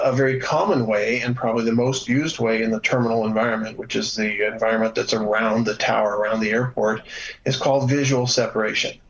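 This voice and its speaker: A man giving a measured, halting explanation as an expert guest in a news interview, with frequent hesitations. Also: mid-range voice, muffled recording. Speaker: former air traffic controller, instructor, guest expert.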